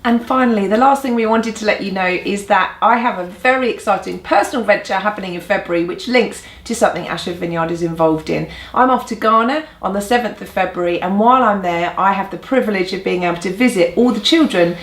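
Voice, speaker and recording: A woman gives church announcements in a roomy, echoing indoor space. She is encouraging and earnest, leaning on key words. Her pitch sits in an average range for a woman. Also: quick pace, hardly any pauses. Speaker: church leader presenting a newsletter. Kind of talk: upbeat announcements to camera.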